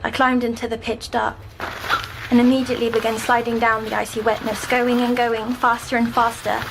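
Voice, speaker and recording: An actress playing a timid young girl troubled by nightmares in an audio drama, speaking hesitantly and softly. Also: a little high, flat delivery, gravelly, background noise. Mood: haunted, wondering. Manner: hesitant, halting, softly descriptive. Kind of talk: scripted audio drama acting.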